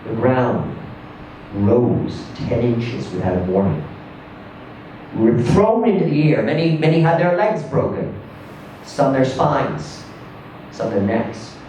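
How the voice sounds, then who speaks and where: A man reading a literary short story aloud in a theatrical, emphatic manner, with deliberate pauses and swells. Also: mid-range voice, big pitch swings, dark tone. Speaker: story reader. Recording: distant echoey room with faint noise.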